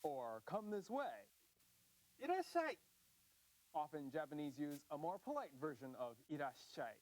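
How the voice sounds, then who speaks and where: An American man hosting a language course, presenting in an upbeat scripted way with slow, careful enunciation. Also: on the high side, very animated, poor audio. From United States.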